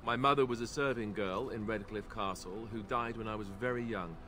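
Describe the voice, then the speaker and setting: A man's voice: lowish voice, brisk, sharp tone, soft background music. A man voicing a wry, self-deprecating young warrior in a video game, talking in a rambling, joking manner. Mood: reflective, regretful. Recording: faint background noise.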